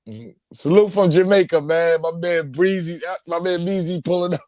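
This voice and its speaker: A man's voice: high voice, fairly even intonation, moderate pace, darkish tone, no echo, clean studio sound. A man hosting a casual live stream who keeps affirming his guest with "salute" and "facts".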